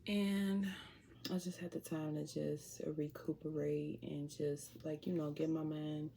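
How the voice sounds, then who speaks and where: A woman's voice: sits low, little pitch movement, unhurried, gravelly, mellow, slight background noise. A young woman from Chicago with a Chicago accent, chatting casually and laid-back to her viewers at home. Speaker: vlogger. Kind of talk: casual chat to camera.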